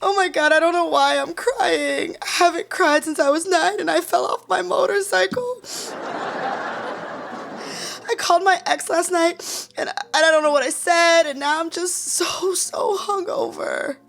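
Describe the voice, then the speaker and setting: A woman's voice: very high-pitched, a little slow, vocal fry, a bit bright, heavily acted. An actress playing an awkward, guarded young woman in an audio sitcom, blunt about her own messy life. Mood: tearful. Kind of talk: sitcom audio drama acting.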